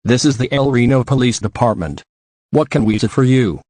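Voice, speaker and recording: A man's voice: medium pitch, moderate pace, natural voice. A synthetic text-to-speech man's voice playing a police officer answering a call. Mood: businesslike. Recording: clear noise behind voice.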